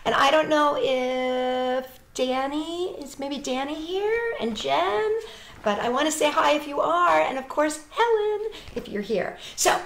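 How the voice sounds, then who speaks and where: A woman's voice: on the high side, slight background noise. A middle-aged woman, a children's entertainer, talking directly and expressively to young children in a live storytime, recorded on a webcam in a living room. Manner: animated, exclaiming, addressing children directly. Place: small living room with couch, indoors. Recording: roomy webcam sound.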